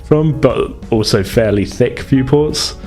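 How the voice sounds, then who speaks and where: A man's voice: mid-range voice, takes it slowly, darkish tone, soft background music. A man acting as game master, casually improvising narration in informal, hedging, stop-start phrases.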